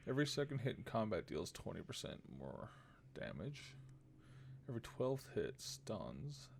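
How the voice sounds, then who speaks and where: A man doing casual video game commentary as a streamer, thinking aloud in short, low-key mumbled phrases. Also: sing-song, normal speed, vocal fry, slight background noise. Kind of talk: casual gameplay commentary, reading card text aloud. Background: game music.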